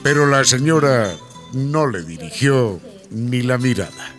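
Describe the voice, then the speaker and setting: A man narrating a family radio soap opera in an expressive, scripted storytelling manner. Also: mid-range voice, measured pace, faint music behind.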